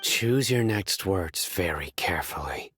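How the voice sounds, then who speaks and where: A man's voice: low-pitched, animated, measured pace, a bit bright. An actor playing a stern, guarded young male general in a video game, speaking in a serious, terse, intense way. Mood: threatening. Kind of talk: video game character dialogue.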